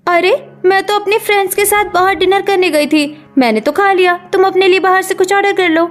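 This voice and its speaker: Woman acting a high-voiced, snappy modern young wife. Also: a little fast. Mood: dismissive. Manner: snappy, dismissive.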